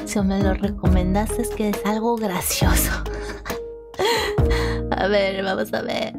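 A woman's voice: medium pitch, steady tone, slow pace. A woman giving casual, chatty, giggly commentary as host of a reaction video. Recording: loud music behind the voice. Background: music and the reacted video's audio.

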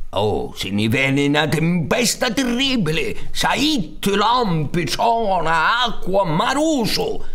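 A man's voice: on the high side, fairly bright tone. A man in his sixties with a Sicilian accent, telling a folk tale dramatically on a theatre stage. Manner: dramatic, gesturing, with rhetorical questions. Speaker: storyteller and director. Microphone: studio mic on a stand.

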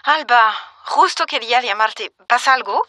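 A woman playing a brisk, purposeful friend giving instructions in a radio drama. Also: on the high side, bright, forceful tone, no echo. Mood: urgent, purposeful. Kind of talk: radio drama phone dialogue.

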